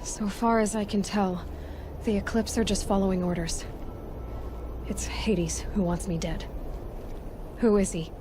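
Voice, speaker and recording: A woman's voice: medium pitch, fairly even intonation, unhurried, long pauses, background noise, close to an everyday voice. A woman playing a determined, guarded young huntress in a video game, probing and dryly sarcastic. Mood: probing, uneasy. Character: determined, wary, sharp-tongued young huntress heroine. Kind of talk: video game cutscene dialogue.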